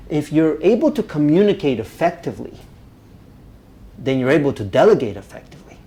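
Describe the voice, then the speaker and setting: A man from Romania, teaching a live public speaking workshop, energetic and often checking in with the group. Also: mid-range voice. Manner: energetic, checks in with "right?" constantly.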